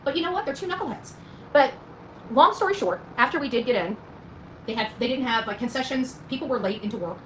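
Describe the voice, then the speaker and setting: A woman talks very quickly, as if to her followers, rambling through a personal story. Her manner is folksy and emphatic, full of colloquial turns. The room is echoey, with faint noise behind her. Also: sits high, lively intonation, fairly bright tone. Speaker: vlogger recounting own experience. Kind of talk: casual rambling storytelling to viewers.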